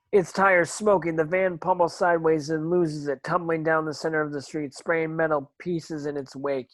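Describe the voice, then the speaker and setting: A man's voice: fairly high pitch, dry recording. A man narrating screen action from a script as a stage directions reader, now and then stumbling over words.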